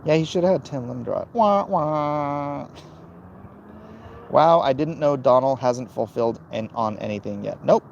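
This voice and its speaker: A man with a creaky, gravelly voice and a middling pitch talks casually to his livestream chat. He is blunt and dismissive, muttering and humming between thoughts, over faint background noise. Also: unhurried, darkish tone, dull audio. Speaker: livestream host reading and answering chat comments.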